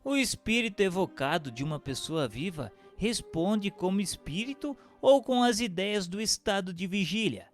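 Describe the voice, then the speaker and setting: Guy reading formal scripted questions n notes, lively intonation, big hall reverb, music behind. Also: sits high, normal speed, few pauses. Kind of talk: scripted radio drama narration. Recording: long reverberant hall sound.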